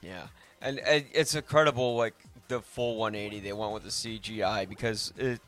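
A man's voice: on the low side, moderate pace, fairly bright tone, soft background music. A man hosting a podcast, talking casually about films in a rambling, opinionated, informal way. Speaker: podcast host. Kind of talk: casual film discussion.

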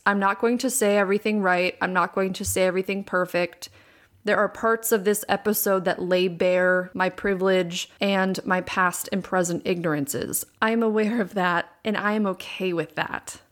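A young adult woman hosting a solo podcast, with a raspy voice and vocal fry worn from recording many times, talking candidly and repeating words for emphasis. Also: medium pitch, steady tone, moderate pace, fairly bright tone. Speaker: podcast host. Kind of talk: casual solo podcast talk. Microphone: USB condenser microphone.

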